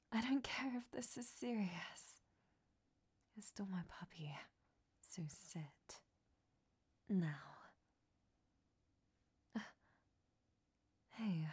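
A woman's voice: mid-range voice, breathy edge. A woman whispering a roleplay monologue to a listener, scolding him and then turning tender and confessional.

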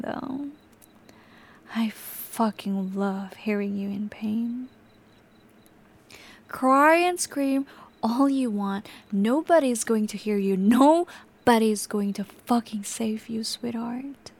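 A young woman voicing an obsessive, volatile captor in an audio roleplay, sliding between soft whispers and sudden sharp outbursts. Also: high-pitched, full character voice. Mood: taunting.

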